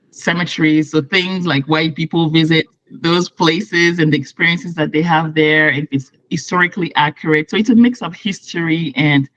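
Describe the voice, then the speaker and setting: A woman's voice: deep voice, no echo, highs cut off. A woman in her thirties, from Morocco, a guest on a video call interview, talking at length and often restarting her sentences. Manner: chatty, explains at length with restarts.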